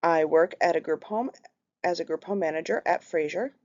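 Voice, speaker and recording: A woman in her thirties with a Minnesotan accent and a fairly low voice reads steadily through a prepared class presentation. She sounds earnest and keeps an even, scripted delivery. The recording is slightly muffled and lo-fi. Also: moderate pace. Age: 30s. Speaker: student presenter.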